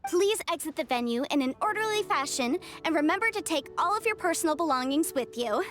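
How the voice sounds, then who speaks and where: A woman's voice: sits high, lively intonation, fairly bright tone. A woman voicing a poised, ceremonious contest officiator in a video game, speaking formally. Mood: formal, gracious. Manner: measured, ceremonious. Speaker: competition announcer. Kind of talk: formal event announcement in game voice acting. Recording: music behind the voice. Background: background music.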